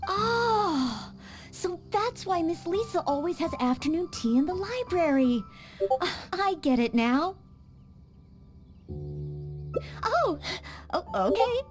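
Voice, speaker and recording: An actress voicing an earnest, polite young maid training to be a knight in a game cutscene, with small hesitant stammers. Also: female voice, very high-pitched, sing-song, measured pace, soft background music, heavily acted. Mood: eager. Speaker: game character.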